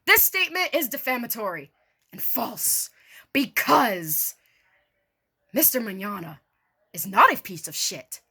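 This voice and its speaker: Theatrical, sarcastic woman with lively pitch. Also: a little slow, pauses often, slightly rough. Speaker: online video creator doing a dramatic reading. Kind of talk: mock-formal dramatic reading with casual sarcastic asides.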